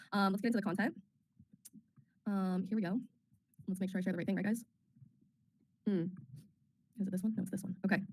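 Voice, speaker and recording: Fast-talking bubbly woman host, slightly raspy. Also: mid-range voice. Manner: bubbly, chatty, many ums. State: getting over a cold. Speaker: webinar host, marketing officer. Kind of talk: casual presenting on a webinar.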